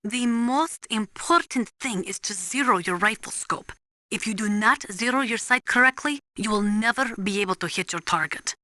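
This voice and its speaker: A serious female military analyst from a video game, voiced by an actress, with a bright, forceful voice at a medium pitch. She delivers technical briefings precisely and formally, in a dry studio sound. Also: normal speed, no room sound, natural voice. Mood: instructive. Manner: precise, instructive, formal, avoids contractions.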